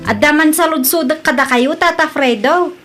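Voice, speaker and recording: A woman's voice: sits high, moderate pace, fairly bright tone, faint music behind. An actress playing an upright, plain-spoken village woman who scolds a cheat in an Ilocano comedy radio drama. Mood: indignant, reporting.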